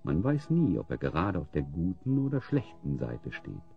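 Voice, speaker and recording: A man's voice: low voice, huge pitch swings, normal speed, gravelly, lo-fi sound, full character voice. A man playing the solemn narrator of a fantasy audio drama, telling the tale in a theatrical, scripted style. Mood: dramatic, solemn. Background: music.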